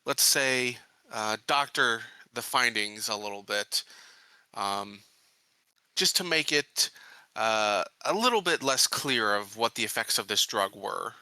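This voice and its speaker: An earnest, secretive colony marshal, acted by a man in a tabletop game. He speaks deliberately and haltingly, pressing his case. The tone is very bright and the sound is dry. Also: lowish voice, dry recording, heavily acted. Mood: careful.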